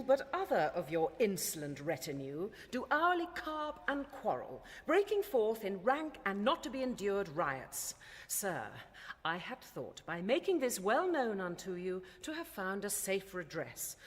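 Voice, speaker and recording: An actress playing a cold, haughty royal daughter in classical Shakespearean radio drama, speaking with formal, measured reproach. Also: female voice, medium pitch. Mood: cold complaint. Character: cold, haughty royal daughter scolding her aged father. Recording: reverberant hall-like radio drama sound.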